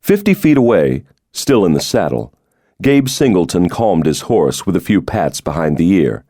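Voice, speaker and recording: A man narrates a suspense novel in a low voice. His pitch moves widely as he reads the scripted prose, and the recording is dry and close, with no room sound. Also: sing-song, normal speed, dark tone, no room sound. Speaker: audiobook narrator.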